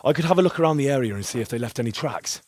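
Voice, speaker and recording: An actor playing an eager, deferential young subordinate in a medieval video game, keen and pleading. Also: male voice, medium pitch, lively intonation, racing, a little mellow, dry recording, lightly acted. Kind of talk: dramatic video game acting.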